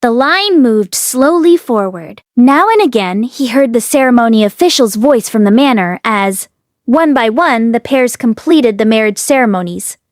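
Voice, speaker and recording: Высокий женский голос, читает книгу. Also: female voice, fairly high pitch, expressive, normal speed, dry recording, clean studio sound. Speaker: audiobook narrator and read-along host. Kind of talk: expressive story narration.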